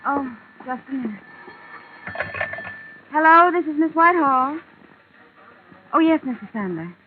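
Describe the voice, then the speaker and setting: An American actress playing a polite, easily flustered young office assistant in an old radio drama, proper and earnest. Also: female voice, high voice, expressive, unhurried. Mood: flustered, alarmed. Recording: muffled, noisy vintage radio recording.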